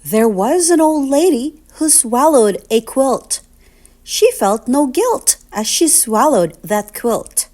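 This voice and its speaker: Woman reading a kids book out loud, slow and sing-songy, voice goes up and down a lot. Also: high-pitched. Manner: expressive, deliberate, sing-song storytelling. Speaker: children's storybook reader. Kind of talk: read-aloud picture book narration.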